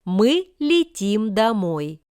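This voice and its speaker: A woman reads short example sentences for a language lesson. Her delivery is slow and deliberate, with every word carefully formed. The voice is crisp and smooth, in a dry recording with no room sound. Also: mid-range voice, big pitch swings, darkish tone, no echo. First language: Russian. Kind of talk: scripted example sentences read for learners.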